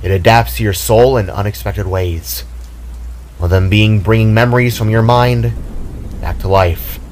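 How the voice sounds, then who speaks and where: A man voicing a grave, wise elder being of legend in a storybook narration, speaking in a measured, solemn way. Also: fairly low pitch, a little hiss or hum, natural voice. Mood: solemn, intrigued.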